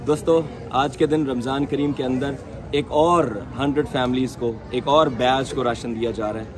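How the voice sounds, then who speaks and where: A man's voice: mid-range voice, steady tone, normal speed. A man in his thirties from Pakistan addressing viewers outdoors as a charity vlogger, earnest and devout, full of prayers and blessings while gesturing with open hands. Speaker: charity vlogger handing out groceries. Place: open courtyard outdoors among seated families. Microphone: camera a few feet away, waist-up framing. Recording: outdoor crowd murmur behind. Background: crowd of people.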